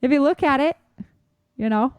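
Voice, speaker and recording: Female podcast co-host, higher-pitched and emphatic, opinionated casual chat, dry recording. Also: normal speed, darkish tone, no room sound.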